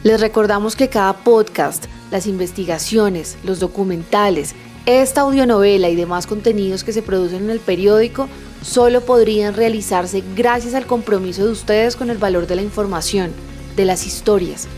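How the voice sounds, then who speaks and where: A woman's voice: medium pitch, normal speed, a little mellow, faint music behind. A woman reading scripted podcast credits as an announcer.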